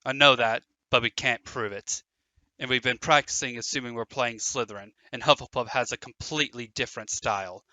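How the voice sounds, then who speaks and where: Guy doing driven teen sports captain, emphatic, sharp medium-pitched voice, audio drama. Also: steady tone, fairly quick, no room sound, close to an everyday voice. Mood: livid, urgent. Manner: urgent, emphatic. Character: intense, driven teenage boy sports captain.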